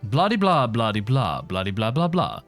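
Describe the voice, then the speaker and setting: A man's voice: medium pitch, wildly swooping pitch, normal speed, fairly bright tone, faint music behind. A man giving casual, rambling live commentary as he streams a game, informal and sweary.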